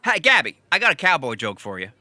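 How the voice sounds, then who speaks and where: A man's voice: fairly high pitch, wildly swooping pitch, quick pace, pushed and bright, dry recording, full character voice. A man playing a stubborn, earnest diner cook in a comedic audio drama. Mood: playful. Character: stubborn, earnest, joke-loving man who cooks at a country diner.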